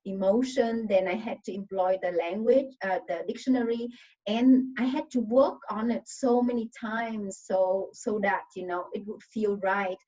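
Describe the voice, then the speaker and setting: Brief: Vietnamese-accented woman, panel guest, earnest and searching, mid-pitched, muffled far-off video call audio. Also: darkish tone. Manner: earnest, pauses to search for words. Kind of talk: casual panel talk.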